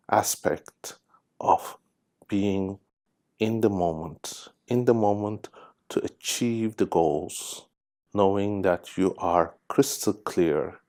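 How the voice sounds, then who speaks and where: A man narrating a guided meditation in measured, deliberate phrases with pauses. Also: sits low, a little mellow. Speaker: guided meditation host, hypnosis therapist.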